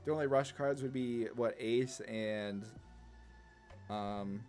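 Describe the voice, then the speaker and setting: A man's voice: medium pitch, takes it slowly, darkish tone, soft background music. A man giving casual, slangy card game commentary, thinking aloud hesitantly as he plays. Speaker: gaming channel host.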